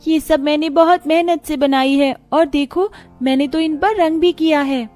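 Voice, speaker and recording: Woman as small poor schoolgirl, high voice, pleading, earnest, cartoon moral story. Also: moderate pace, a little mellow, soft background music, close to an everyday voice. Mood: hurt protest. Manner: plaintive, pleading.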